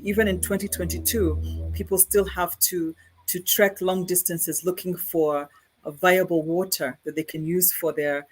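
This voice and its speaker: A guest speaker from Kenya with a Kenyan accent, answering questions in a halting way and often repeating words while explaining. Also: medium pitch, rough recording. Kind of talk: answering questions at an online conference.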